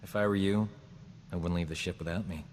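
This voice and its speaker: An actor playing a boastful, smooth-talking combat medic in a video game, joking and flattering himself. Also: male voice, deep voice, brisk, in a large hall, very noisy, natural voice. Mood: cocky. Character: cocky boastful man, a frontier combat medic. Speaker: companion character in a video game. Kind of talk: video game character dialogue.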